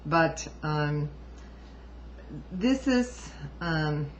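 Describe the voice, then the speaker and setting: A woman reading aloud and adding informal political commentary in a deliberate, halting way with emphatic asides. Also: deep voice, lively intonation. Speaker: amateur book reviewer and commentator. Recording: dull lo-fi home recording, faint noise.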